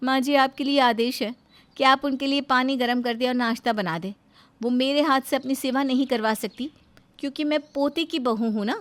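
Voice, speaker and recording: A woman's voice: sits high, normal speed, lightly acted. A woman voicing a scheming young daughter-in-law in a story, eager and pleading.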